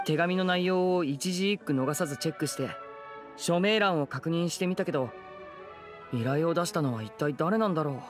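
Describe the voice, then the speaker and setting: Young male wanderer and trickster played by an actor, courteous, lightish pitch, anime game. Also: normal speed, lightly acted. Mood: thoughtful. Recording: music behind the voice.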